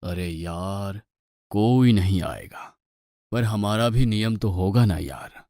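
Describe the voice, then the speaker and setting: Kindly divine lord for a mythological cartoon, lowish male voice actor, patient and gently coaxing. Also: slow pace, no echo, studio quality. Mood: mildly exasperated. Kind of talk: Hindi cartoon mythological acting.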